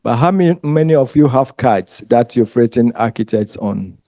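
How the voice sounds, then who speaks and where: A man with a Ghanaian English accent lecturing a group of exam candidates, unhurried and conversational, pausing often with ums. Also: mid-range voice, lively intonation, dark tone, dry recording, studio quality.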